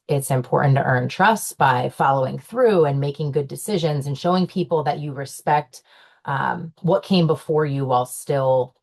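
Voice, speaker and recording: Young woman panelist, low voice, chatty with lots of fillers, casual panel talk. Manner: chatty, many fillers and restarts, laughs often. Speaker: panelist, owner's daughter in the business.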